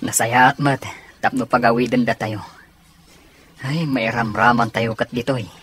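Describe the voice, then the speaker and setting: An actor playing a soldier talking with a comrade in a radio drama, husky, with an Ilocano accent. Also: male voice, medium pitch, fairly even intonation, a little slow, fairly bright tone, a little hiss or hum, close to an everyday voice. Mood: wistful. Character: soldier recalling his long-lost cousin to a comrade.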